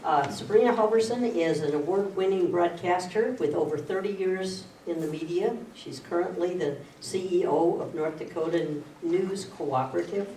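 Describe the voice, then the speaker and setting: A moderator introduces the evening's speakers in a plain, friendly way, with small joking asides. The voice sounds far from the mic in an echoey room, with clear background noise. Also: medium pitch, normal speed, hardly any pauses, warm tone. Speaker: moderator for the organizing group. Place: community meeting room. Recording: echoey room audio recorded from afar, with noise.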